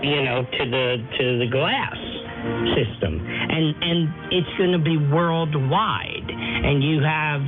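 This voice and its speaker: A woman guest on a radio program, talking earnestly and haltingly, repeating words for emphasis. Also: deep voice. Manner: halting delivery, emphatic repetition. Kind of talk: earnest interview talk. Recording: muffled shortwave radio, music bleeding through.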